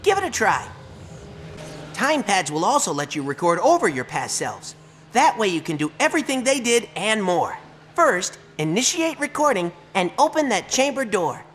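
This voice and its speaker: An actor playing a small, earnest robot helper in a game, giving courteous step-by-step instructions. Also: male voice, sits high, sing-song, moderate pace, fairly bright tone, a little hiss or hum. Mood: patient instruction. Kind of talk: game tutorial acting.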